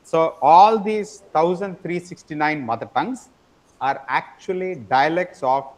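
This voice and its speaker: A man gives an online lecture in a fairly high, light voice. He explains patiently, repeats words as he searches for the next point, and keeps checking in with his students. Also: rough recording. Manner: explanatory, frequent tag question "right?". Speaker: teacher.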